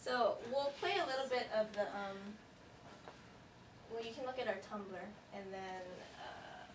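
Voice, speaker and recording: A woman presenting her research at a casual meeting, chatty and loose with plenty of fillers. She is some way from the mic in an echoey room. Steady background noise runs under her voice. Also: a little high, unhurried, distant mic. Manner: chatty, filler-heavy, laughing asides. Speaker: student presenter.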